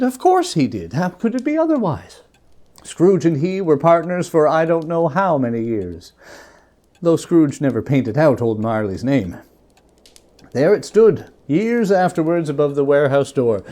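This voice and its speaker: Mellow, very animated man reading a story. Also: on the high side. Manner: theatrical, conversational asides to the listener. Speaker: narrator reading a classic story aloud. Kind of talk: dramatic storybook narration.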